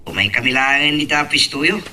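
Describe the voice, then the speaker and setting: A man playing a brisk, friendly young man in an Ilocano audio drama. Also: medium pitch, sharp tone. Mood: casual, friendly.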